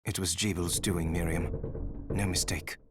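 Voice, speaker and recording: An actor playing a grave, protective male alchemist in a fantasy video game, speaking in an earnest, measured, formal way. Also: deep voice, level tone, over music. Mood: grave, regretful. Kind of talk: dramatic video game voice acting. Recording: noisy game sound behind voice.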